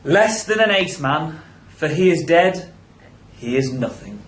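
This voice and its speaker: A man's voice: fairly high pitch, expressive, unhurried. A man playing a mocking young lord who jeers in an amateur Shakespeare radio play. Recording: echoey room.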